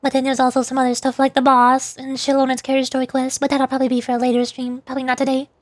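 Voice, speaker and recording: A woman's voice: high voice, completely flat delivery, racing, dry recording. A woman chatting casually on a livestream while she plays a game. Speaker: streamer, VTuber.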